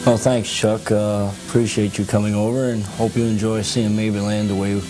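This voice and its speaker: A man's voice: lowish voice, flat delivery, moderate pace, music in the background. A man answering informally as an interview guest at his home.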